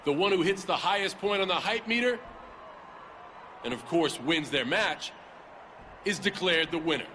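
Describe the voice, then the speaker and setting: A cocky wrestling champion. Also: male voice, a little high, expressive, normal speed, pauses often, sharp tone, some chatter behind, slight background noise. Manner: cocky, playful trash talk. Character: brash male wrestling champion addressing the crowd. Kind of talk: video game cutscene dialogue. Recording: game audio with arena ambience.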